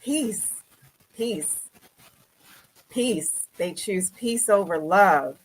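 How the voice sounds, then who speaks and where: A cheerful woman from Baltimore chatting as an interview guest, quick to chuckle. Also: mid-range voice, expressive, husky, poor audio. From Baltimore, United States. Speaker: strategy consultant, show guest. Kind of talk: conversational interview.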